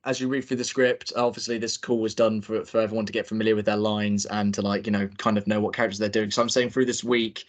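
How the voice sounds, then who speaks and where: A man's voice: fairly low pitch, little pitch movement, quick pace, few pauses. A young man directing a group table read on a video call, talking in a rambling way with many fillers and restarts. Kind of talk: casual chat.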